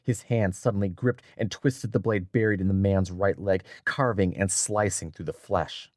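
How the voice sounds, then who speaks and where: A man narrating a dramatic story in a measured, deliberate, scripted audiobook style. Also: deep voice, steady tone, dry recording, clean studio sound.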